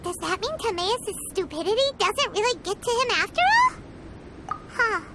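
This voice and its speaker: A woman voicing a tiny, excitable, childlike fairy companion in a video game, squeaky, chatty and exclamatory. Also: unhurried, very noisy, exaggerated voice. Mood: curious, chatty. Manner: exclamatory, refers to self in third person. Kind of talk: animated video game character acting. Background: background music.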